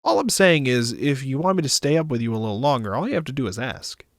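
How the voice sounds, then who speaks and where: A man voicing a wry, caring male space pilot with expressive delivery in a visual novel. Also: medium pitch, quick pace, no room sound, clean studio sound, close to an everyday voice. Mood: gentle.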